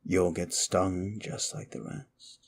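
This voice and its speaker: Man voicing a very deep, gravelly, contemptuous demon. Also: fairly even intonation, exaggerated voice. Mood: cold, contemptuous. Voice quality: low, gravelly. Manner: theatrical, brooding, slow and deliberate with dramatic pauses. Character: wicked, contemptuous immortal male demon, secretly conflicted. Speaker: audio roleplay performer. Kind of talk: ASMR roleplay monologue, addressed to the listener.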